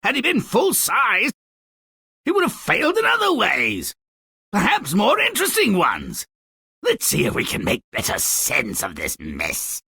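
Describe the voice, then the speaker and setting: An actor playing a cynical, gleefully morbid, grumpy dwarf storyteller in a video game, with a raspy, gravelly voice and a pompous, sardonic, theatrical delivery. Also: male voice, high-pitched, huge pitch swings, pushed and bright, no echo, full character voice. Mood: scheming, sardonic. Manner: sardonic, pompous wordy, mock-dramatic swoops and drawn-out endings. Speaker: narrator character. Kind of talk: theatrical scripted game narration.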